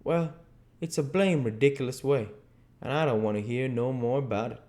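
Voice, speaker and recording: A man's voice: medium pitch, moderate pace, a little mellow, pitched above the performer's own voice, lightly acted. A man voicing a stubborn, uneducated Southern man in an audiobook, with a folksy dialect and insistent reasoning. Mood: stubborn, argumentative. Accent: American South. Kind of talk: audiobook character dialogue.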